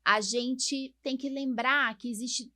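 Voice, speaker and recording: Brazilian lady doctor hosting a podcast, slightly high voice, explains stuff casually, lots of little check-in questions. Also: fairly even intonation, slow pace, sharp tone. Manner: informal explainer, uses diminutives and frequent tag questions. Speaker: podcast host, allergist doctor.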